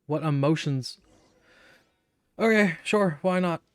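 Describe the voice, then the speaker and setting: A man's voice: fairly high pitch, slow pace, dry recording. Sarcastic gaming man, dry close sound. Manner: sarcastic, irreverent, sweary. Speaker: game streamer. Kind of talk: casual gameplay commentary.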